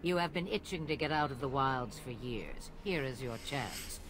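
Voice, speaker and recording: Sly old witch mother, bossy n dry, fantasy game, clear background noise. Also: extremely low pitch, lively intonation, moderate pace. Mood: brisk, commanding. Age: older. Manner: commanding, dry, mocking. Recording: game audio over noisy outdoor ambience.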